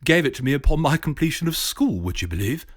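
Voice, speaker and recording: A jovial, clipped upper-class professor with a scheming edge, as the male narrator puts him on. His voice sits a little higher than the narration, and he sounds lively and animated as a storybook figure. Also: quick pace. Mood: genial.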